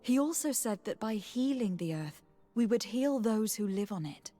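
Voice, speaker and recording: An actress playing a composed, dutiful young noblewoman diplomat in a video game, speaking in a measured, earnest, formal manner. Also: fairly high pitch, expressive, dark tone, soft background music.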